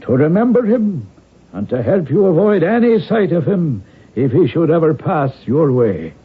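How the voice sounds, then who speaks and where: An old servant in a radio play, loyal and anxious. His voice is very husky and swings widely in pitch, earnest and full of feeling, on a thin, narrow-band broadcast recording. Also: sing-song, normal speed, heavily acted. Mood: solemn, earnest. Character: loyal old Irish family retainer, anxious and devout. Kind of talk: radio drama acting.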